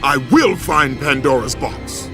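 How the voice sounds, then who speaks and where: Brutal grim game warrior out for revenge, slow commanding delivery, swings a lot, music behind. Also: male voice, medium pitch, animated. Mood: defiant rage. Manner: commanding, declamatory. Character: vengeful, battle-hardened male warrior, grim and brutal. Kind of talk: dramatic video game acting.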